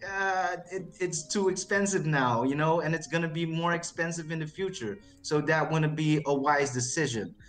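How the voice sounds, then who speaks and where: A man whose first language is not English, speaking as a guest on a stream with slight Texan traces in his accent, often halting, repeating words and laughing. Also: fairly high pitch, fairly bright tone. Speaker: crypto project CEO, guest. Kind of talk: casual interview chat.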